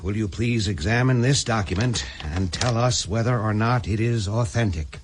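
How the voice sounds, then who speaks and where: An actor playing a formal, businesslike government official in a radio drama. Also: male voice, on the low side, normal speed, noisy background, close to an everyday voice.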